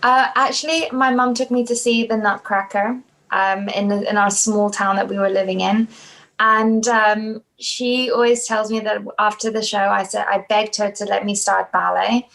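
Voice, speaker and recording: Young woman dancer chatting on a podcast, lots of ums, kinda gravelly and flat. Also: mid-range voice, normal speed, rough recording. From South Africa. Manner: hesitant, many restarts and fillers. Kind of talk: casual interview chat.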